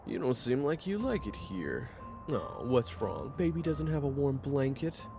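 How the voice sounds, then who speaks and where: A man playing a gruff, hot-headed and easily flustered monster fighter who mocks and blusters in an audio roleplay. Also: mid-range voice, very animated, measured pace, over music. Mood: mocking.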